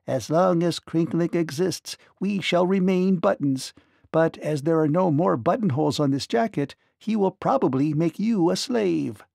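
A man's voice: fairly high pitch, a little slow, gravelly, mellow, no echo, clean studio sound, pitched above the performer's own voice. A man voicing a small, gloomy enslaved field mouse in a storybook. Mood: grim warning.

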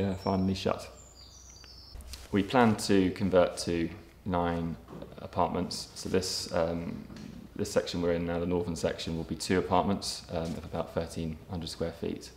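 A man in his thirties, a property developer giving a documentary interview, speaking in a measured way with frequent ums and restarts. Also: sits low, darkish tone, slight background noise. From England. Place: empty brick factory room, indoors, large. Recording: echoey empty room, faint ambience.

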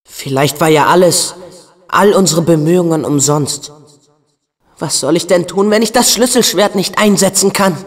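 A man's voice: on the high side, moderate pace, lightly acted. An actor voicing an earnest, hot-headed and loyal teenage boy hero in a video game, with a youthful, emphatic delivery. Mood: downcast. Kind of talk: dubbed video game acting.

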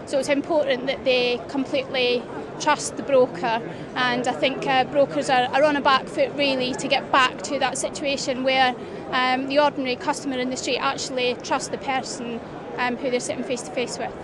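A woman's voice: sits high, flat delivery, normal speed, crowd noise. A middle-aged woman, an insurance broker, answering a vox pop hesitantly with fillers at a busy exhibition hall. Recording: background hall noise.

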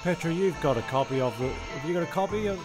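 A man's voice: on the high side, quick pace, over music. A man hosting a comedy sketch, mixing stumbling improvised narration with laid-back, matey banter.

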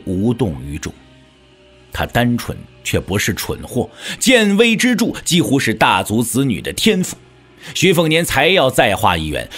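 A man's voice: mid-range voice, husky. A man narrating a martial-arts story in a theatrical, scripted storytelling style. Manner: theatrical, expressive.

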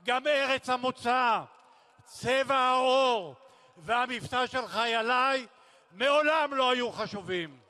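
A man delivers a formal rally speech through a big, reverberant public-address system. His voice is very high for a male and has a bright, forceful edge. He speaks solemnly and declaims in deliberate phrases, leaving weighty pauses between them. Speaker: speaker at a memorial rally, former military commander.

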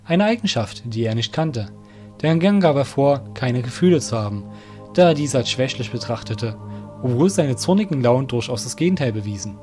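A man's voice: mid-range voice, lively intonation, moderate pace, darkish tone, soft background music. A man narrating a scripted fantasy audio drama.

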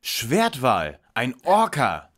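A man's voice: medium pitch, wildly swooping pitch, drawn-out words, a bit bright, full character voice. A man playing a self-assured, lecturing young detective leader in an audio drama parody. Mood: smug, lecturing. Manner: precise, pompous, lecturing.

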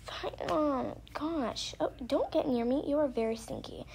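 A woman's voice: high-pitched, measured pace, creaky, darkish tone, slight background noise, voice pushed up. Woman playing a bossy, exasperated mother. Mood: stern. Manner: bossy, scolding, impatient. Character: stern, exasperated mother scolding her young daughter. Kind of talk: pretend-play family roleplay.

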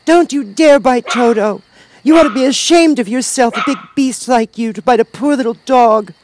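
A man voicing a little girl in a storybook, anxious yet bold and quick to scold. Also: sits high, lively intonation, darkish tone, slight background noise, voice pushed up. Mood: angry scolding. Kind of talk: storybook character voice.

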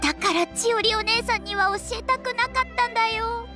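A woman's voice: extremely high pitch, moderate pace, over loud music. An actress voicing a sweet, earnest, worrying young cat-girl courier in an anime-style game, with a cute girlish delivery. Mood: earnest, puzzled.

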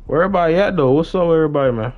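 A man's voice: fairly high pitch, rapid-fire, dull audio. A young man chatting casually on a gaming livestream in slangy talk, often repeating his phrases. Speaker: live streamer.

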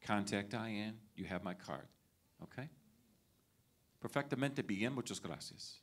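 A man's voice: on the low side, animated, a little slow. A middle-aged man, a school superintendent, addressing parents at a meet-and-greet in a library auditorium on a handheld mic, reassuring and checking in with "okay?" and "right?". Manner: reassuring, with frequent tag questions. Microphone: handheld microphone.

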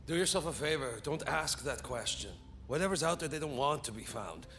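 Sardonic fighter, higher-pitched voice. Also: male voice, lively intonation, fairly quick, bright, forceful tone, a little hiss or hum. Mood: grim, dismissive. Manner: sardonic, grumbling. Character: gruff, cynical, foul-mouthed male veteran fighter. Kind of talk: video game cutscene acting.

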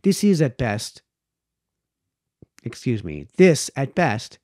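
Mellow man reading aloud, lively pitch. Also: takes it slowly, dry recording, studio quality. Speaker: reader, host of a read-aloud series. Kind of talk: reading aloud from a book of formal essays. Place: small home office, indoors. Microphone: close boom-arm podcast microphone, headphones.

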